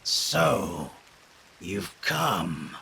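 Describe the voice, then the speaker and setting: A man voicing a vengeful, imperious armored reptilian villain in a video game, with a gravelly, theatrical delivery. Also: low-pitched, big pitch swings, pushed and bright, heavily acted. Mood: cold accusation. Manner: slow, menacing, theatrical. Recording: rain and noise behind the voice.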